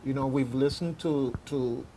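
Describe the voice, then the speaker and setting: A man's voice: mid-range voice, fairly even intonation, a little slow, warm tone, a little hiss or hum, poor audio. A man hosting a casual radio discussion, informal and jocular, often repeating his phrases as he goes. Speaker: radio host.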